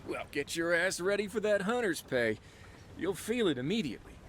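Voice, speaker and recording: An actor playing a supportive old friend who hands out bounty work in a video game, familiar and teasing. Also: male voice, high-pitched, very animated, fairly quick, fairly bright tone, a little hiss or hum. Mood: easygoing, teasing. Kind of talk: naturalistic video game acting.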